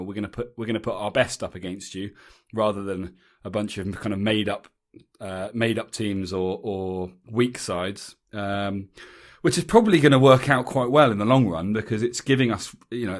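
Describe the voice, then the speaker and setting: An English man hosting a rugby podcast, chatting casually and rambling with frequent restarts and ums. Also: on the low side. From England. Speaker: podcast host. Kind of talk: casual chat.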